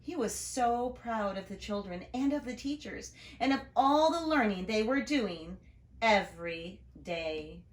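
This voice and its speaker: Animated woman reading aloud, roomy sound. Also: medium pitch, measured pace. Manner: expressive, storytelling to young listeners. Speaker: storybook reader, educator. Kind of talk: animated children's read-aloud with asides on the pictures. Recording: roomy, echoing room sound.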